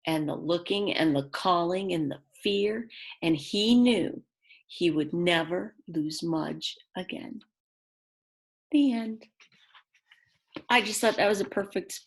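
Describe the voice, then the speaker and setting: A woman reading a picture book aloud to young children, expressively and simply, with chatty asides to her listeners. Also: lowish voice. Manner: slow, simple phrasing with dramatic emphasis and chatty asides. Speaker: children's read-aloud storyteller.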